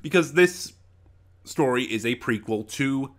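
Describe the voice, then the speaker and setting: A man giving a casual, unscripted review, speaking emphatically in a halting way, often trailing off and regrouping mid-thought. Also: medium pitch, expressive, a bit bright. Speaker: online reviewer.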